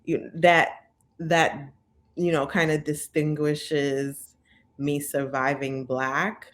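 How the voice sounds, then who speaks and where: A woman in her thirties from Brooklyn speaks thoughtfully in an interview, pausing often with fillers. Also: low-pitched, flat delivery, fairly bright tone. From Brooklyn, by way of Saint Vincent. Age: 30s. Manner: thoughtful, with many pauses and fillers. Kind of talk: reflective interview.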